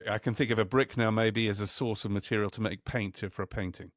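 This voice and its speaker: A man hosting an interview, asking thoughtful, slightly halting questions. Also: lowish voice, fairly even intonation, no echo, clean studio sound. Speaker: podcast host.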